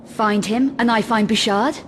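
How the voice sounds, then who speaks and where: An actress playing a tough young woman adventurer in a video game, dry and deadpan with a veiled menace. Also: fairly high pitch, measured pace, a little hiss or hum, natural voice. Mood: businesslike. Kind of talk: video game cutscene acting.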